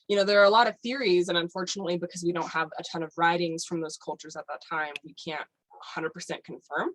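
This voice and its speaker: Low-voiced woman explaining, full of fillers. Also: fairly even intonation, fast, fairly bright tone, slight background noise, rough recording. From United States. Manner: rambling, explanatory, many fillers. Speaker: guest. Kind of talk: casual podcast chat.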